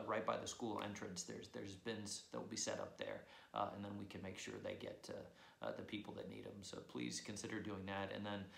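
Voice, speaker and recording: A man's voice: fairly low pitch, creaky, a bit bright. A man, a priest, giving church announcements from his living room, hesitant with many fillers. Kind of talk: church announcements and opening prayer. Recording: echoey room.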